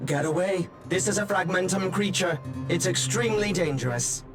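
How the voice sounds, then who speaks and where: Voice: mid-range voice, normal speed, over music, lightly acted. An actor voicing an impassive combat robot in a video game, formal, clipped and coldly procedural.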